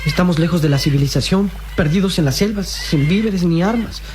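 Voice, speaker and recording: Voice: mid-range voice, a little fast, background noise, natural voice. Performer as plaintive young boy sidekick. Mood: defeated, pleading. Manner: plaintive, respectful, theatrical delivery. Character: young boy sidekick, earnest, loyal, anxious. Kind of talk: radio drama acting.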